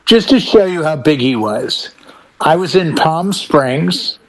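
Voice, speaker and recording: A man chatting casually on a panel in an animated, joking, exclamatory way. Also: fairly high pitch, slow pace, low-quality recording. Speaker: podcast panelist and DJ. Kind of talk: casual chat.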